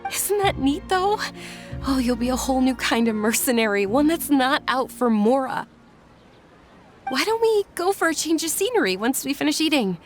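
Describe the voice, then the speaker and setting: An actress voicing a gentle, caring young noblewoman in a video game, soft and eager in her speech. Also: sits high, very animated, normal speed, faint music behind.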